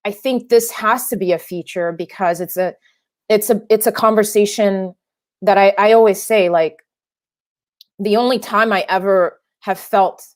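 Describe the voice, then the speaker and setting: Thoughtful low-voiced woman filmmaker. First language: French. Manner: thoughtful, many restarts and fillers. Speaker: filmmaker guest. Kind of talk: interview answers.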